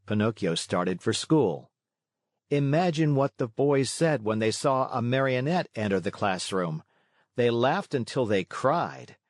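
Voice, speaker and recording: A man narrating a children's read-along story in an expressive, scripted style. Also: medium pitch, no room sound, clean studio sound.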